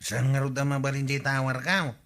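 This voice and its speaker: A man's voice: mid-range voice, little pitch movement, fairly quick, a bit bright, lightly acted. Man acting cheeky young errand-running nephew. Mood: wheedling. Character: cheeky young nephew sent on errands, naive bargain hunter. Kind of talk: comedy radio drama acting.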